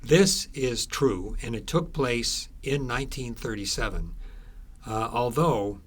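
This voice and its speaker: A man being interviewed as an author, explaining things conversationally in a halting, storytelling way with repeated phrases. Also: medium pitch, breathy edge. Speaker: author being interviewed about his book.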